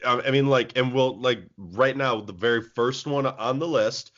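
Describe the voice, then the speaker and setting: A man's voice: mid-range voice, moderate pace, fairly bright tone, muffled recording. A man co-hosting a casual podcast chat about movies, rambling with many restarts. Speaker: podcast co-host.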